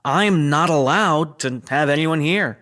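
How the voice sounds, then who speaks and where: A man's voice: on the high side, measured pace, a bit bright. A man playing a chummy but guarded spaceship crewman in a naturalistic sci-fi audio drama, talking casually. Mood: explaining, confiding. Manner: casual, conversational.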